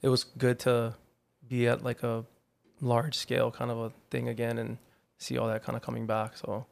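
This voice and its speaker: A man in his thirties from Hawaii, a guest chatting in a relaxed way on a podcast interview, with lots of "like" and "you know". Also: medium pitch, moderate pace, gravelly. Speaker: guest, artist manager.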